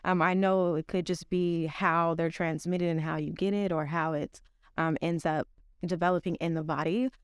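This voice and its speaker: A young woman explains a health topic on a podcast, thoughtful and a little hesitant, dotting her talk with fillers. Her voice is smooth and clear at a middle pitch, and it sounds dry and close to the mic. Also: steady tone, moderate pace, dry recording.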